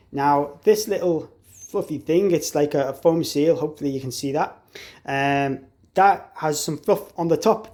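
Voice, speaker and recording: Man, somewhat high-pitched voice, casual product tutorial, informal explanatory delivery, installer showing how things fit. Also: a little mellow. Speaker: installer demonstrating an intercom product.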